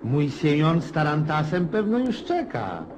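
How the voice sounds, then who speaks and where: An actor playing a garrulous, gloomy and pushy old retired Cossack officer in a radio play, rambling in a folksy, insistent way with hums and chuckles. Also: male voice, a little high, very animated, unhurried, background noise. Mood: jovial, insistent. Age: older.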